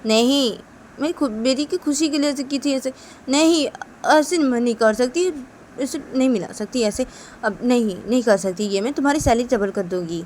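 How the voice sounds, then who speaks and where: Woman putting on a firm boss lady, rich mother, steady, questioning, low. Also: moderate pace, slight background noise, close to an everyday voice. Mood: reassuring. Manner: firm, questioning, then reassuring. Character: strict wealthy mother, female boss, firm but kind.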